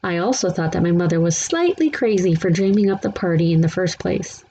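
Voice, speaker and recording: Mellow woman reading a children's book. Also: fairly low pitch, animated, brisk. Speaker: read-aloud narrator. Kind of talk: scripted children's book narration. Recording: slightly dull, faintly noisy recording.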